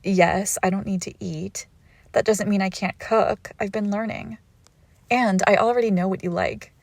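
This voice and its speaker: A woman's voice: mid-range voice, steady tone, normal speed, creaky, no room sound. Woman acting a teasing vampire girlfriend, crackly close voice. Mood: playful smug.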